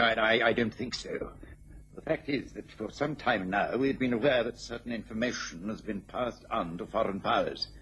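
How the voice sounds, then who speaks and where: An actor playing a worried, dignified British government official in a radio drama, formal and hesitant. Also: male voice, medium pitch, fairly bright tone, dull audio, close to an everyday voice. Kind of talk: mid-century radio drama acting. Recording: noisy old radio recording.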